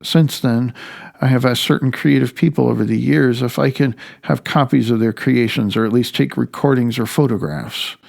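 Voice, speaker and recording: Gravelly man reading his audiobook. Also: medium pitch, steady tone, normal speed, darkish tone. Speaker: author reading own audiobook. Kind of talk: audiobook narration of personal nonfiction.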